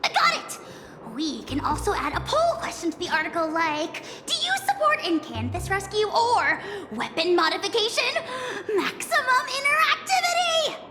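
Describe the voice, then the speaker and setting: Tiny critter reporter for a game: extremely high, animated pitch, eager, childlike, melodramatic. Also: female voice, normal speed, long reverb tail, soft background music, full character voice. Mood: excited. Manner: exclamatory, melodramatic. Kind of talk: animated video game character acting.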